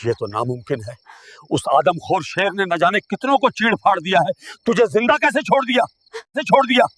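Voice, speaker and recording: A man's voice: high-pitched, very animated, a little fast, fairly bright tone, exaggerated voice. A man playing a worried, scolding village uncle in a dramatised moral story. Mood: disbelieving.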